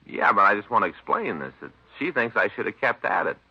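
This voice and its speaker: A man's voice: fairly low pitch, very animated, brisk, sharp tone, husky, heavily acted. An actor playing a sheepish married police detective in a radio drama, folksy and hesitant, rambling as he talks. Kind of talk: 1950s radio drama acting. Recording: dull old radio recording.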